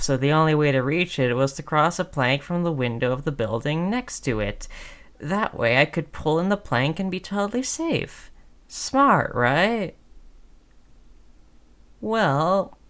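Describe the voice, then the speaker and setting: Slow-talking, friendly, coaxing zombie, acted by a performer. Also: medium pitch, fairly bright tone. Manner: casual, cajoling, slangy salesman-like patter. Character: friendly, wheedling, chatty undead zombie coaxing a survivor. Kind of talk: scripted audio roleplay monologue.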